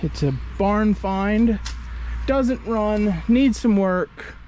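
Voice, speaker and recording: A man chats folksily into a handheld camera held at arm's length, tired after a long day. His voice sits a touch high for a man. A faint outdoor hum runs underneath. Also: very slow, darkish tone. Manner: easygoing, folksy and chatty. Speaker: farm vlogger. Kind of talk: casual vlog narration. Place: outdoors on a farm and in a pickup truck. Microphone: handheld action camera at arm's length.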